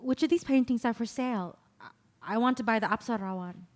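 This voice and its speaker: A woman's voice: on the high side, a little fast, lightly acted. A woman playing a curious young woman, a classical dancer reborn, in a table read of a stage play.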